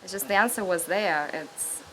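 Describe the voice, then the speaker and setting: A woman's voice: on the low side, normal speed, bright, forceful tone, slight background noise, rough recording. Woman, hesitant, searching for words. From Russia. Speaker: narrative therapist. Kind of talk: personal reflection.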